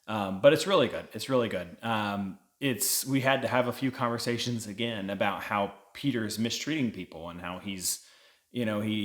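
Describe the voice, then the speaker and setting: A man's voice: fairly low pitch, fairly bright tone. A man giving a casual, conversational book review, explaining things as a vlog co-host.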